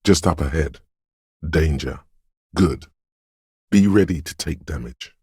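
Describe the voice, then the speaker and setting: A man voicing a brooding, cryptic inner voice of intuition in a video game, speaking in a hushed, deliberate, portentous way. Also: very deep voice, husky, dry recording, studio quality, full character voice. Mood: ominous, urgent. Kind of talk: scripted video game narration.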